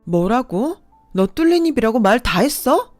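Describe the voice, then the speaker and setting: A woman's voice: on the high side, big pitch swings, moderate pace, dry recording, faint music behind, pitched above the performer's own voice. A woman as an angry defiant young mother.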